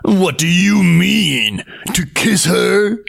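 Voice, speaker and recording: Shouting, furious whirlwind spirit. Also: male voice, sits high, lively intonation, gravelly, voice pushed up, heavily acted. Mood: ironic. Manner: shouting, drawn-out delivery.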